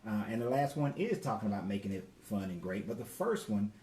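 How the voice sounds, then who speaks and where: A man's voice: on the low side, sing-song, brisk, a little mellow. Laid-back man in his forties. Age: 40s. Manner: laid-back, slangy, talks to viewers by name. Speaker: co-host. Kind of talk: casual livestream talk. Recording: echoey room with faint background noise.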